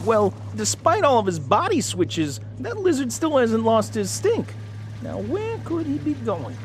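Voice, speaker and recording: A man's voice: extremely high pitch, wildly swooping pitch, unhurried, a little hiss or hum, full character voice. A man voicing a wisecracking little robot rat scout in a radio drama. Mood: wry, curious. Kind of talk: radio drama cartoon acting.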